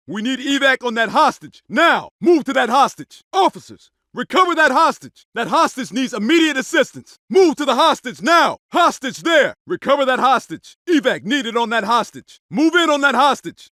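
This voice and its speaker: A man's voice: very high voice, bright, forceful tone, no echo, clean studio sound, full character voice. A man playing a tough undercover police officer in a video game, barking urgent, clipped orders and combat callouts.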